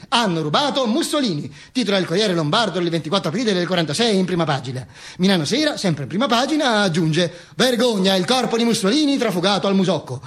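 A man's voice: high voice, fairly bright tone. A man performing scripted theatrical narration for radio in a declamatory, emphatic way, repeating phrases for effect. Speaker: stage monologue performer.

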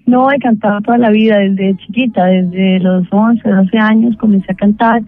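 Colombian woman, middle-aged, flat even delivery, phone-line sound, faint music behind. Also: medium pitch, moderate pace, no echo. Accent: Colombian, Bogotá. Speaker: guest singer. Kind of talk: phone interview.

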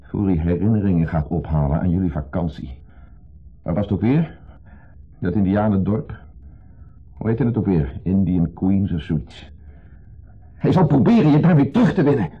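An actor playing an insecure, jealous husband in a 1970s radio play, accusing, brooding and sardonic. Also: male voice, low voice, animated, normal speed, pauses often, slightly rough. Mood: bitter, agitated. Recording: muffled phone-like old radio recording.

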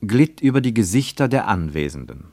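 A man narrating a literary radio drama in a measured, deliberate way. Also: fairly low pitch, big pitch swings, dark tone, no room sound.

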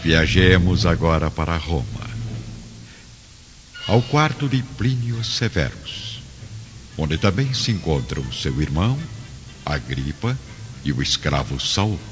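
Um homem narrando uma radionovela como contador de histórias solene, em estilo dramático. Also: male voice, rumbling low, very animated, slow, long pauses, full character voice. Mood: solemn, dramatic. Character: solemn omniscient storyteller guiding listeners between scenes. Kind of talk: dramatic scripted narration. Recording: long reverb, lo-fi, music bed.

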